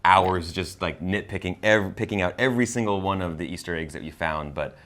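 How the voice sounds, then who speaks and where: A man's voice: sits low, a little fast, fairly bright tone. A man co-hosting a casual film discussion, enthusiastic and slangy in the way he talks. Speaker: co-host of a movie review show.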